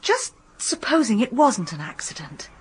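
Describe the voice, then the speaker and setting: An actress playing a suspicious, perceptive hotel manager's wife with a breathy, raspy voice in a radio drama. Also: female voice, fairly high pitch, sing-song, moderate pace, heavily acted.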